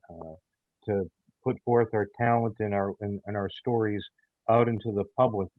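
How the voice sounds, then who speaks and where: A man in a casual panel chat, speaking as an audio theater producer in a halting, measured way. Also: on the low side, no room sound. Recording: muffled, phone-like thin audio.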